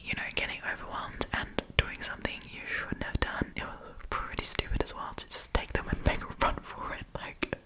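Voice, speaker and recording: Female ASMR storyteller, entirely whispered, informal and chatty, reading aloud with offhand commentary. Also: low-quality recording. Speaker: host reading and reacting to online stories.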